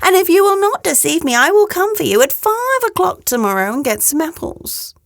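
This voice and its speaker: Woman playing a slow, wheedling big bad wolf. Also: extremely high pitch, very animated, no room sound, clean studio sound, voice pushed up, full character voice. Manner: slow, drawn-out, wheedling delivery. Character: sly, menacing male Big Bad Wolf coaxing his prey. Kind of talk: children's storybook read-aloud.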